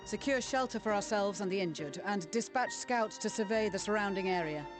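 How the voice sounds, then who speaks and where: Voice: medium pitch, animated, fairly quick, music in the background, lightly acted. A performer voicing an authoritative, formal military commander in a video game, giving orders. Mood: commanding, composed.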